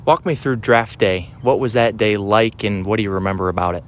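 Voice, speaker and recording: A man's voice: on the low side, fairly quick, slight background noise. A man hosting a baseball pregame show, reading intros and asking questions in an upbeat, scripted announcer style. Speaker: radio host.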